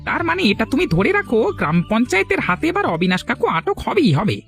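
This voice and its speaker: A man's voice: extremely high pitch, wildly swooping pitch, fast talker, gravelly, fairly bright tone, faint music behind, full character voice. A man playing an indignant village man in a cartoon, emphatic and moralizing in how he talks. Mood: righteous, indignant. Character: righteous, indignant village man demanding punishment for liars. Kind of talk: cartoon dialogue acting.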